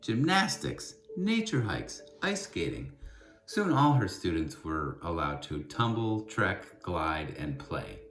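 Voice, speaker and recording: A man reading a children's book aloud in an expressive storytelling style, with deliberate, emphatic phrasing. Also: low-pitched, faint music behind.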